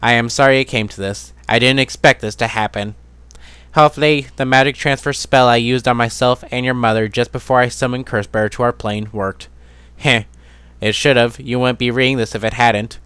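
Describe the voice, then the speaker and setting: A loving father from a fantasy story, acted by a man, giving a farewell message to his daughter. The voice is smooth and a little raised, gentle and earnest, recorded close and dry. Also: medium pitch, fairly even intonation, brisk, no room sound, close to an everyday voice. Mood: tender, regretful.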